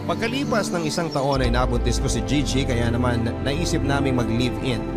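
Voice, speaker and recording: A prominent music bed runs under an actor as a young father. His mid-pitched male voice narrates in an earnest, confessional radio drama style. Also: close to an everyday voice. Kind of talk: scripted first-person radio drama narration. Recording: loud music bed behind.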